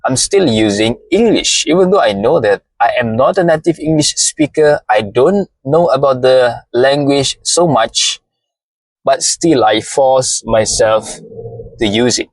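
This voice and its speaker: Slow, deliberate Malaysian man. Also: mid-range voice, no room sound, poor audio. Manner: slow, deliberate, repeats phrases. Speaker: podcast host. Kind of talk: solo motivational talk.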